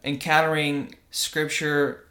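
A man's voice: a little high, level tone, takes it slowly, sharp tone. Young male podcast co-host, earnest, keeps asking "right?", born in Russia. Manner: earnest, tag questions like "right?". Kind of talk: casual religious podcast talk.

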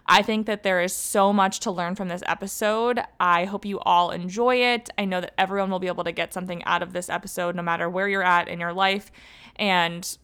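A woman's voice: lowish voice, fairly even intonation, brisk, a bit bright, smooth. Upbeat woman hosting a podcast. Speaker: podcast host. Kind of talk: podcast interview, scripted intro.

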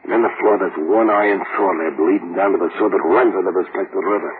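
An actor playing a wry, scheming death-row convict with a slangy, fatalistic manner, in 1930s radio drama style. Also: male voice, sits low, fairly even intonation, quick pace, lightly acted. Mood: conspiratorial. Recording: tinny, hissy 1930s radio recording.

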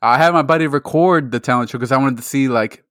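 A man's voice: mid-range voice, fast talker, fairly bright tone, dry recording, clean studio sound. A young man co-hosting a podcast, telling rambling stories with lots of swearing. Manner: heavy swearing, rambling storytelling. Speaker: podcast co-host. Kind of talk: casual podcast chat.

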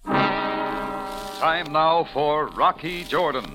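A man's voice: on the high side, extremely slow, poor audio. A man announcing a scripted show in dramatic 1940s old-time radio style. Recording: old radio broadcast with music.

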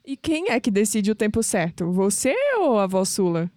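A woman's voice: medium pitch, animated, normal speed, darkish tone, dry recording, clean studio sound, lightly acted. An actress with a Brazilian accent playing an independent, skeptical, wry young woman in an audio drama. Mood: suspicious. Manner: wry, questioning.

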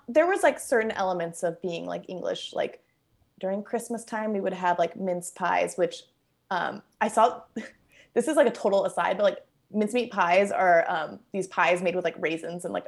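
A young woman born in Bangkok and raised in Los Angeles, chatting casually as an interview guest at home, full of fillers and easy laughter. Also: medium pitch. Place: at home, kitchen nearby.

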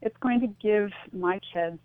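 A middle-aged American woman speaking as a radio interview guest, reflective and halting as she restarts her thoughts. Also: mid-range voice, no room sound. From United States. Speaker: interview guest, suicide-loss advocate. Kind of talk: earnest radio interview conversation. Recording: muffled phone-like radio line.

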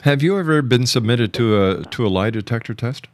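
A man's voice: medium pitch, expressive, a little fast, no echo. Man hosting a radio interview. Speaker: radio host. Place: broadcast studio.

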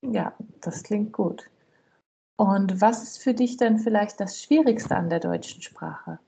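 Mellow-voiced woman interviewer. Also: mid-range voice, takes it slowly, vocal fry. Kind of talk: conversational interview questions.

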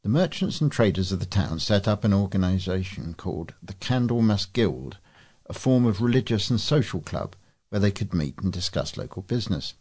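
Male, volunteer local newsreader, deep and slightly rough-toned, delivering prepared articles. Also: expressive, normal speed, darkish tone. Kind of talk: scripted news reading.

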